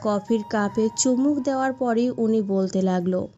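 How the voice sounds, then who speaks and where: A woman's voice: on the high side, normal speed, warm tone. A woman narrating a scripted romantic story as its storyteller. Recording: dull lo-fi sound, background music.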